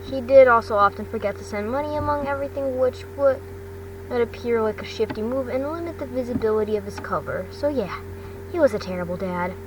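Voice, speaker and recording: Female amateur storyteller, higher-pitched, halting delivery with repeats, recorded off-mic with clear background noise. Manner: halting, stumbling, repeats phrases. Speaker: fan fiction narrator. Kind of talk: amateur storytelling read aloud. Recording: distant mic, noisy background hum.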